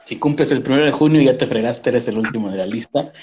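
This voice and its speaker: A man's voice: medium pitch, quick pace, a little mellow, lo-fi sound. A man, chatting casually, asking questions and joking lightly. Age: 30s. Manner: conversational, asks questions, jokes lightly. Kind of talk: casual chat.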